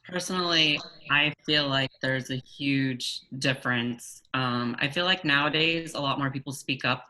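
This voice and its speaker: Young man with a bright, tense voice. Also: medium pitch, rough recording. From Texas. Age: young adult. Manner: earnest, halting with fillers. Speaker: panelist. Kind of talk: personal panel discussion.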